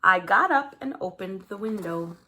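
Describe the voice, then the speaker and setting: A woman reading a children's story aloud in an expressive, dramatized way. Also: mid-range voice, moderate pace, low-quality recording. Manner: animated, dramatized delivery with character voices. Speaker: storybook reader.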